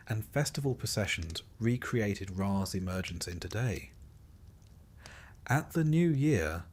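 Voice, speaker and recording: Low-voiced man narrating a sleep story. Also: a little mellow.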